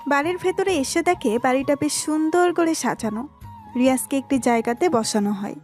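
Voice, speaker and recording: Female story narrator, very high voice, scripted romantic storytelling, faint music behind. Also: moderate pace, darkish tone.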